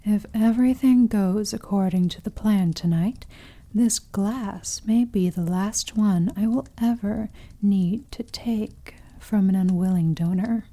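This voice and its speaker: Woman acting a dark, slow-spoken seductive vampire lady. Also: mid-range voice. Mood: smug, silky. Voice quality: soft, breathy, often dropping to a whisper. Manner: slow, teasing, silky condescension with pet names. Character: seductive, sadistic, possessive female vampire host. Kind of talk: intimate ASMR roleplay.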